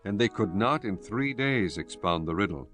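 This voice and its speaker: Male Bible narrator: deep, formal, solemn read over clearly audible music. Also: lively intonation, normal speed, a bit bright. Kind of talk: scripted scripture narration. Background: prominent music.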